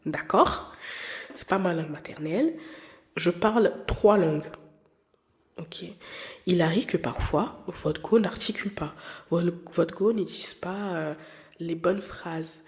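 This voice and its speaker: A woman's voice: on the low side, slow pace, long pauses, a little mellow. A woman in her twenties, a non-native French speaker, hosting her podcast in a candid, rambling chat full of fillers and restarts. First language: not French. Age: 20s. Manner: candid and playful. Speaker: podcast host. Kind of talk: casual personal chat.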